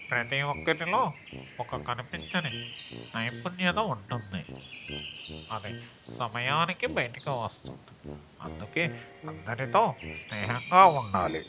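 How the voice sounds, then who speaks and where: A man's voice: mid-range voice, sing-song, measured pace, long pauses, faint music behind. A man narrating a story for children as its storyteller.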